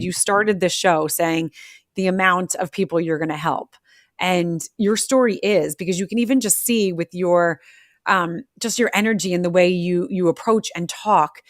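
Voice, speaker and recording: A woman's voice: lowish voice, no room sound, clean studio sound. A woman hosting a live audio interview, effusive and rambling, with many restarts as she talks to her guest. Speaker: podcast host. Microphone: remote audio on a social audio app.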